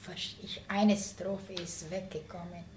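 An older woman speaking in a calm, measured way during an interview about her family. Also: lowish voice, a little hiss or hum. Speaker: interviewee and author. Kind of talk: conversational interview. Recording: roomy room with faint ambience.

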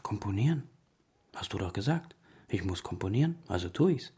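A man playing a tired composer father, gruff but tender, with dry, laconic delivery in a German radio play for children. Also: on the low side, very animated, a little fast, mellow, exaggerated voice.

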